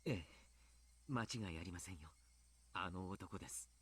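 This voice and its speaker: Grave, low-voiced doctor. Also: male voice, husky. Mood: thoughtful. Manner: measured, deliberate, polite. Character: grave, polite adult male doctor and coach. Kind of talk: Japanese visual novel voice acting.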